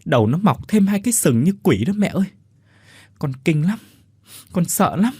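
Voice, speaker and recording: A man's voice: mid-range voice, sing-song, moderate pace, a little mellow, breathy edge, no room sound, clean studio sound. A man voicing a terrified young adult son who recounts a haunting in a shaken, pleading voice.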